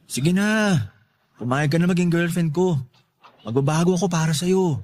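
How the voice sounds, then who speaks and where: An actor playing a cheeky, lovestruck young loafer in a Filipino radio drama, teasing and wheedling with corny playfulness. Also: male voice, a little high, normal speed, darkish tone, close to an everyday voice. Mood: pleading. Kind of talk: Tagalog radio drama acting.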